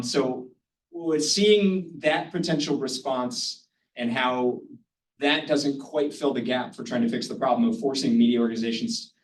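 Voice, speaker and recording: A man speaking on a panel in a loose, conversational way, with many hesitations. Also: mid-range voice. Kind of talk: panel discussion talk. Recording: roomy hall, distant mic, faint noise.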